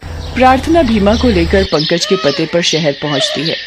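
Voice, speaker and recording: Woman narrating a moral tale, lowish voice. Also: expressive, moderate pace, noisy background. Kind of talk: scripted children's moral story narration.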